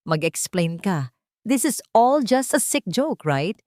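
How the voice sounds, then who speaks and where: A woman's voice: medium pitch, big pitch swings, slow pace, mellow, dry recording, clean studio sound, pitched above the performer's own voice. A woman voicing a frightened, betrayed young wife who stammers and pleads in a trembling voice in a dramatic story. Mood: pleading. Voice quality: trembling, breaking voice.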